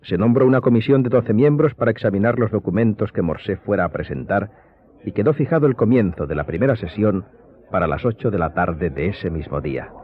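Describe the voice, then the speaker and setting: A man's voice: on the low side, expressive, brisk. A man giving scripted dramatic narration as the narrator of a radio drama. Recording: thin phone-like sound, music behind.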